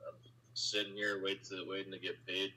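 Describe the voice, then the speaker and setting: A man's voice: level tone, moderate pace, pushed and bright, low-quality recording. A man from California talking hesitantly on a video call, with many fillers. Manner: hesitant, filler-heavy, low-key.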